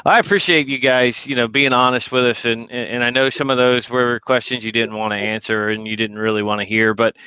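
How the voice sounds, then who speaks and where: A man hosting an owners' group on a video call, appreciative and halting, with many fillers. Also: mid-range voice, steady tone, bright, forceful tone, clean tone, no room sound. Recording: dry, dull video call audio.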